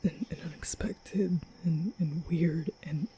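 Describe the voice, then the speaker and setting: A man playing a nervous, kind-hearted young vampire in an ASMR roleplay, speaking softly and often whispering, with hesitant, flustered stammering. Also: fairly high pitch, fairly even intonation, slow, a little hiss or hum. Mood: hesitant, softened. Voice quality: soft, breathy, often whispering.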